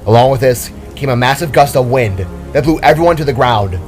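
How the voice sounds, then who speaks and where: A male storyteller with a medium-pitched voice narrates dramatic fantasy action from a script. Faint music sits behind the voice. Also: animated, a little fast.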